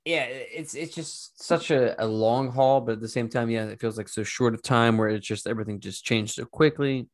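A man's voice: mid-range voice, moderate pace, few pauses. A man hosting a podcast in a casual, slangy, informal way, laughing easily as he chats. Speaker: podcast host.

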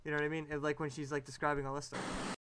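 A man's voice: a little high, completely flat delivery, racing, background noise. A man co-hosting a podcast, chatting casually and mocking what he reads out, laughing a lot. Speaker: podcast co-host. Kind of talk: casual chat.

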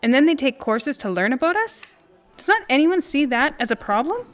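A fairly high-pitched woman speaks as an earnest, indignant friend in an audio drama. Her delivery is conversational and emphatic, like someone who needs to be understood. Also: big pitch swings, fairly quick. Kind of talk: naturalistic audio drama dialogue.

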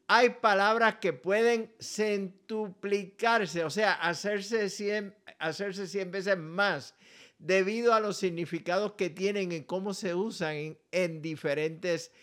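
A Puerto Rican pastor speaks with a smooth, resonant voice that sits somewhat high for a man. He takes his time, spelling things out slowly and deliberately. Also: a bit bright. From Puerto Rico. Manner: slow, deliberate, spells out contact details carefully. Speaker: pastor and ministry director. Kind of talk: scripted radio-style message.

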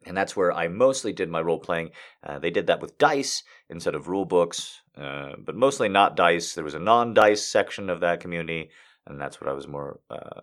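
Brief: male voice actor chatting with viewers, very low pitch, lively up-and-down delivery, self-deprecating. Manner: rambling and self-deprecating, with many restarts. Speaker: voice actor answering viewer questions. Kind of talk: casual chat.

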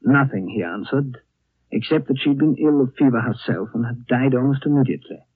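An actor playing a reflective storyteller recounting a strange tale in a deliberate, suspenseful 1930s radio style. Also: male voice, medium pitch, expressive, breathy edge, no room sound, narrow, tinny audio. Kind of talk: 1930s radio acting, dramatic narration. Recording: thin, dry 1930s radio broadcast.

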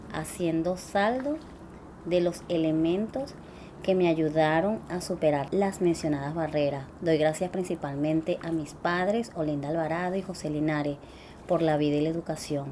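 A young Venezuelan woman who speaks Spanish natively, reading her own life story in a measured, deliberate way. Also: deep voice, mellow, slight background noise. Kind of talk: reading a personal memoir.

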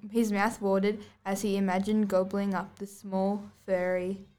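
A woman's voice: medium pitch, completely flat delivery, a little slow, mellow. A girl of about eleven or twelve reading scripted storybook narration aloud as a student podcast host. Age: child, about 11 to 12.

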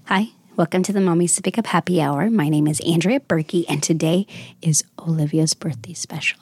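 A woman's voice: low-pitched, a little fast, a little mellow, slightly rough, no echo. A woman in her thirties hosting a casual chat with her daughter, affectionate and motherly, laughing often. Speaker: podcast host and mother.

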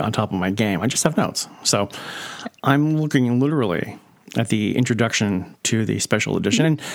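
A man hosting a casual podcast interview, his voice sitting somewhat low. He talks loosely, circling back with fillers and restarts as he thinks aloud. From Pennsylvania. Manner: rambling, lots of fillers and restarts. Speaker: podcast host.

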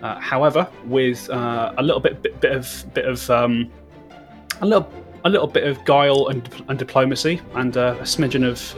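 Stammering game master. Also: male voice, mid-range voice. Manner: stammering, repeats words, self-deprecating. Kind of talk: narrating a tabletop game.

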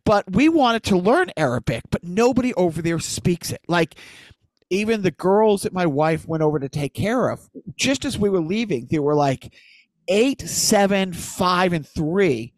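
A man with a slightly high voice hosts a relaxed chat. He rambles through anecdote after anecdote, recorded close and dry with no room sound. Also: measured pace, dry recording, low-quality recording. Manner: rambling storyteller, jumping between anecdotes. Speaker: podcast host. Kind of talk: casual chat.

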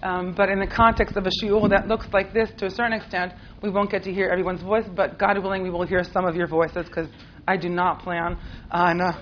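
A woman's voice: on the low side, flat delivery, brisk, dull audio, slight background noise. A woman with an American accent, who grew up in Israel, teaching a class in a chatty, playful way with lots of fillers and laughs. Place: classroom with a live group.

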